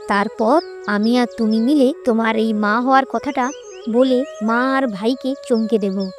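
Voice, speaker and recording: A woman's voice: a little high, a little mellow, natural voice. A woman playing a spiteful, selfish, scheming married sister-in-law in a cartoon melodrama. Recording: music bed behind the voice.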